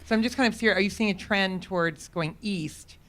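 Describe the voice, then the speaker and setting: A woman's voice: mid-range voice, a little fast, a bit bright. A woman in the audience asking a long, rambling question of a panel.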